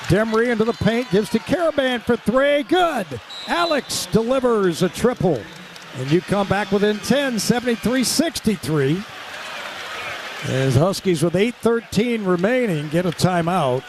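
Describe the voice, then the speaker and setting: Male play-by-play caller, very lively range, excitable and punchy, noisy gym behind him. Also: high-pitched, a little slow, a crowd in the background. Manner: excitable, punchy delivery that rises with the action. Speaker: radio play-by-play announcer. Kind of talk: live basketball commentary. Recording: loud arena noise behind the voice. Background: basketball game ambience.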